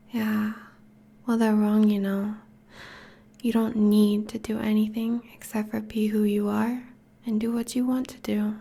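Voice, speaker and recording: A woman playing a teasing, affectionate vampire girlfriend in an intimate ASMR roleplay, speaking softly in a breathy, whispery voice. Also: medium pitch. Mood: tender, reassuring. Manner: soft, teasing, languid.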